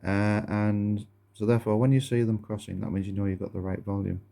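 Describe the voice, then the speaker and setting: A man giving a casual, step-by-step hands-on demonstration in a halting, explanatory way. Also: lowish voice, flat delivery, creaky, warm tone. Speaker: presenter of a gadget demonstration video. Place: indoors at a wooden table.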